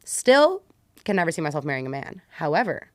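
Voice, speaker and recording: Low-pitched woman, casual and candid. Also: sing-song, brisk, no echo, studio quality. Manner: informal, heavy use of "like". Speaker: podcast guest, creator. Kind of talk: candid casual interview conversation.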